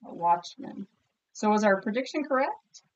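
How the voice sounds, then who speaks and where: A woman teacher reading a picture book aloud expressively and pausing to ask listeners questions. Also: mid-range voice, animated, dull audio. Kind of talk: storybook read-aloud with comprehension questions.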